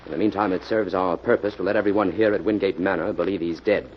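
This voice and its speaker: An actor playing a brisk, determined investigator in an old radio mystery, decisive and giving orders. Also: male voice, low voice, fairly even intonation, natural voice. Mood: businesslike. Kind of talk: 1940s radio mystery acting. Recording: muffled, noisy old-time radio recording.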